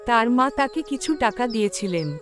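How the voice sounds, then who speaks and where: A woman's voice: fairly high pitch, animated, normal speed, music in the background. A woman storyteller reading a scripted moral tale for a cartoon.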